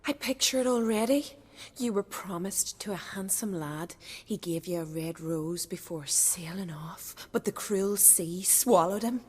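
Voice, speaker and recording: A woman's voice: mid-range voice, a little slow, darkish tone, slightly rough, big-room sound. A woman playing a chatty, bossy young village girl in a video game, with a folksy, teasing way of talking. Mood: curious, dreamy. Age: young adult. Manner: folksy, dialect-heavy, teasing.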